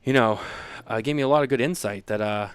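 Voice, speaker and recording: A man's voice: medium pitch, fast, smooth, no room sound. A man in his thirties co-hosting a podcast, chatting casually in a rambling way full of fillers and repeats. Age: 30s. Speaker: podcast co-host. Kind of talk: casual chat.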